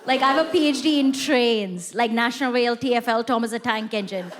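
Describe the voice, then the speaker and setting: A woman's voice: on the high side, a little fast. Woman comic, self-deprecating stand-up delivery, stage mic, audible noise under the voice. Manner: self-mocking, conversational punchlines. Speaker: stand-up comedian. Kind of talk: stand-up comedy set. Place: theatre stage before a live audience.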